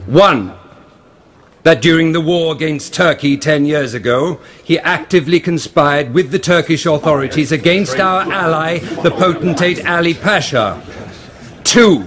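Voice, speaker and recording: A man's voice: a little high, measured pace, fairly bright tone. A man playing a stern Crown Prosecutor, delivering a formal, declamatory address to a tribunal in a radio drama. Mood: stern, accusing.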